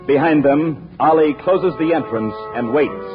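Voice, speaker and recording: A man's voice: fairly high pitch, unhurried. A man announcing and narrating a 1940s radio show with polished, emphatic, scripted delivery. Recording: muffled old radio, music behind.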